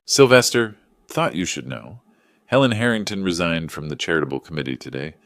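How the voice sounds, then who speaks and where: A man's voice: low voice, animated, a little fast, fairly bright tone, no echo, clean studio sound. A man voicing a society wife who passes on gossip in a discreet, matter-of-fact way in an audio drama. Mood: confiding.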